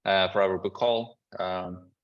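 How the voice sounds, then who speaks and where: A man coaching callers on a group video call, giving relaxed, casual advice. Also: deep voice, slow, lo-fi sound. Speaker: business coach hosting a Q&A call.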